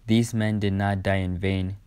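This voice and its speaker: A man's voice: sits low, little pitch movement, unhurried, a little mellow, clear, resonant tone, no echo. A man reading out a scripted newsreel narration. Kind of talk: scripted narration read aloud.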